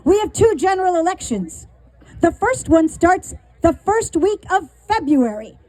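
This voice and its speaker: A woman giving an urgent, quick-paced appeal at an outdoor rally, drawing the crowd to answer back. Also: extremely high pitch, very animated, warm tone, no echo, low-quality recording. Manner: urgent, quick, call-and-response. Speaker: rally speaker.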